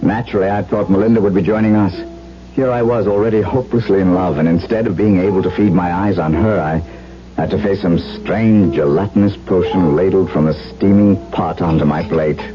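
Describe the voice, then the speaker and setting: A man playing an ardent, lovestruck gentleman in a radio drama, telling his tale in a florid, intense, romantic way. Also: sits low, brisk, music in the background, natural voice. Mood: brooding, enraptured. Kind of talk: 1970s radio drama acting and dramatic narration. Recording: dull 1970s radio broadcast.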